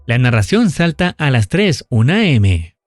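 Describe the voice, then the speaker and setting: A man giving a scripted horror recap narration in a measured, serious storytelling manner. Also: mid-range voice, sing-song, no echo, soft background music.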